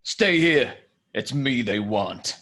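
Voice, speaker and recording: A man's voice: medium pitch, expressive, slow pace, vocal fry, fairly bright tone, exaggerated voice. A man acts out a masked vigilante hero in a table read. He is terse and brooding, with clipped delivery that is dry and commanding. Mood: urgent.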